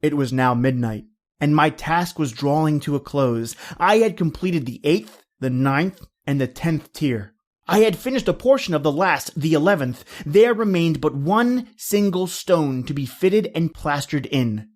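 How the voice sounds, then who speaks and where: A man playing a cold, vengeful nobleman who narrates his crime in a deliberate, dramatic horror reading. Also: on the high side, animated, a little mellow. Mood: cold, grim. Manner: theatrical, deliberate, dramatic storytelling.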